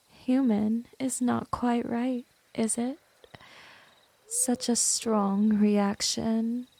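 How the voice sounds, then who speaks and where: Slow, seductive vampire woman, acted by a woman. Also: a little high, a little mellow, no echo, heavily acted. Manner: slow, condescending, seductive, breathy drops into whisper. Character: smooth, teasing, domineering female vampire, gently predatory. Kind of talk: ASMR roleplay, scripted monologue to the listener.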